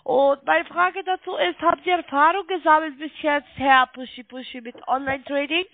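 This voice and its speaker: A high-pitched woman with a foreign accent makes a telephone sales pitch as a non-native speaker. She sounds congested with a cold. Her voice comes over a thin phone line. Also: fairly even intonation, moderate pace, no echo. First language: not German. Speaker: call center trading sales caller. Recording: muffled band-limited phone line.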